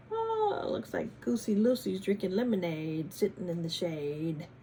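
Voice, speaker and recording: A woman reading a storybook to children in an expressive, teacherly way, adding chatty asides and questions. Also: mid-range voice, slow, a little hiss or hum. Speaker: children's read-aloud host, former teacher.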